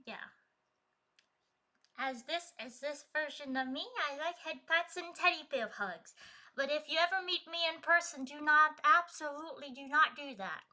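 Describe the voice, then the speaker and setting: A woman's voice: high-pitched, normal speed, sharp tone. A woman chatting casually on a live stream, laughing often and reading viewer messages aloud, with a trained, projecting singing voice. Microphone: streaming desk mic.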